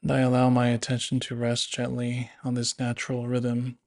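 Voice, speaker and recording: A man narrating a guided body scan meditation in a gentle, unhurried, instructive manner. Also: medium pitch, completely flat delivery, gravelly.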